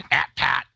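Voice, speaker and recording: A middle-aged man chatting casually and jokingly as host of a sports podcast, with an animated, self-deprecating manner. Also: no room sound. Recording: dull, lo-fi voice recording.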